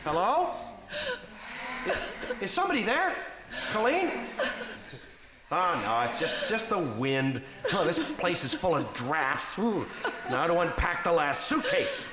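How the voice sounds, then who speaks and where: An actor playing a timid, henpecked husband with a nervous stammer in a comic audio drama. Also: male voice, sits high, wildly swooping pitch, measured pace, pauses often, reverberant, full character voice. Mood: nervous, flustered. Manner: nervous stammer, meek and flustered. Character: timid, henpecked, stammering husband, former talk show host.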